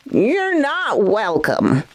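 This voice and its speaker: A woman's voice: a little high, wildly swooping pitch, extremely slow, full character voice. An actress playing a hostile, mad old woman in old-time radio horror, curt and unwelcoming.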